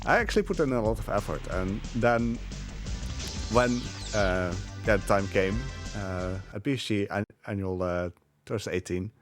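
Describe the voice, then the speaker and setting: A man giving casual live speedrun commentary in a halting, rambling, amused way. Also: lowish voice, expressive. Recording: game music behind the voice. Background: game music.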